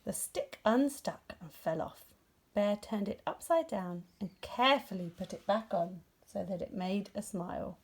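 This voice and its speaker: Woman doing storytime narration for little kids, expressive, mid pitched voice. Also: measured pace, slightly rough. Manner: expressive, acts out dialogue. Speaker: storybook reader. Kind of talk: scripted children's story narration.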